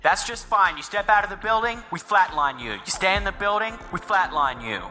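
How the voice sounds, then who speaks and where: A man's voice: sits high, expressive, brisk, pushed and bright, soft background music, pitched above the performer's own voice, exaggerated voice. A man voicing a stern, threatening commando whose amplified voice issues curt surrender demands through a voice enhancer. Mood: menacing. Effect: voice enhancer with long reverb.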